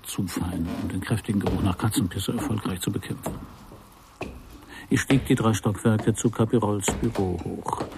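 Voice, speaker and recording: Low, gravelly hard-boiled detective. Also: male voice, normal speed, noisy background. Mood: wry, atmospheric. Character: wry, hard-boiled male private detective narrating his case. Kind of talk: scripted first-person noir radio play narration.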